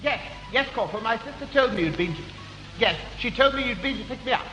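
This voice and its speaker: A mild, prim middle-aged gentleman in a 1960s radio comedy. His high voice rises and falls animatedly as he fusses politely and hesitates. Long reverb hangs on the old recording. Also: animated, fast talker, soft background music, full character voice. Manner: fussy, proper, hesitant. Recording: reverberant, faintly hissy old radio broadcast.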